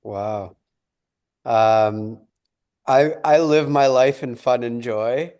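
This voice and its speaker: A man's voice: medium pitch, drawn-out words, a little mellow, clean tone. A company founder chatting casually on a video call, upbeat and repeating his words as he goes. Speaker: company founder and event host.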